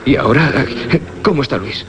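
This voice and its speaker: A man's voice: mid-range voice, animated, a little fast, lightly acted. An actor playing an earnest, uneasy man caught up in a horror radio drama. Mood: anxious. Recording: slightly noisy radio drama recording.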